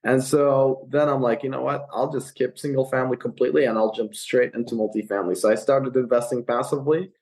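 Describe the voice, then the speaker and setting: A young man from Iraq tells his story as a podcast guest. He talks earnestly, often pausing on fillers and restarting his sentences, in a voice of ordinary pitch. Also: fairly even intonation, a little mellow. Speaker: guest, real estate investor. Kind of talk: casual podcast interview. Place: California.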